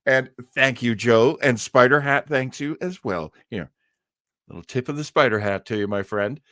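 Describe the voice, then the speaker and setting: A man's voice: mid-range voice, moderate pace, fairly bright tone, no room sound, clean studio sound. A man hosting a livestream, chatting casually with jokey, rambling asides. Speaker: livestream host. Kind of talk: casual chat commentary on old radio.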